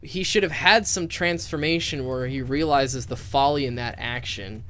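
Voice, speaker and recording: A man's voice: medium pitch, normal speed, fairly bright tone, slight background noise. A man giving casual, opinionated commentary on video game cutscenes in a rambling, sweary way.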